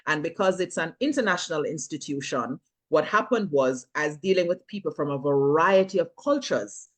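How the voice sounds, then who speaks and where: A woman's voice: deep voice, lively intonation, moderate pace. A middle-aged woman with a Jamaican accent, chatting animatedly as an interview guest, laughing often and slipping into patois. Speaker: filmmaker and actress, guest. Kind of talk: casual interview chat.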